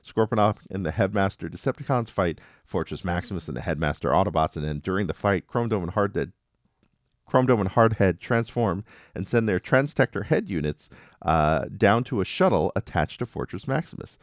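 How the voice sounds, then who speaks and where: A man with a low voice and lively, swinging intonation recaps a story as a podcast host. His delivery is casual and wry, in a dry, close recording. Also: a little fast, dry recording.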